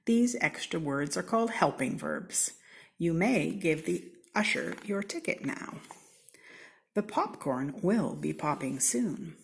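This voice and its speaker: Casting: American woman, slightly low voice, unhurried and very animated, for children's picture-book read-alouds with playful emphasis. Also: vocal fry. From Seattle, United States. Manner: theatrical, deliberate, with playful emphasis and whispered asides. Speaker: storybook reader.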